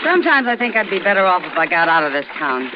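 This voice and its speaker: A woman's voice: fairly low pitch, very animated, quick pace. An actress playing a weary, wistful frontier woman in a 1950s radio drama, speaking wryly. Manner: wistful, wry. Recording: muffled old radio broadcast with hiss.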